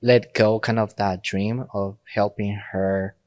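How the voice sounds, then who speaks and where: A young adult Mexican-born priest talks easily in a dry studio, in a lowish voice. His English has a first-language flow, broken into short phrases, with frequent laughs. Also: slow, dry recording. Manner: relaxed, laughs often, speaks in short phrases. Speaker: priest, podcast guest. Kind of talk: casual interview talk.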